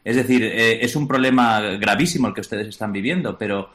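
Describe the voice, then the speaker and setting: A man's voice: fairly low pitch, fairly quick, fairly bright tone. A man working as a radio correspondent, putting spontaneous questions in a hesitant, roundabout way.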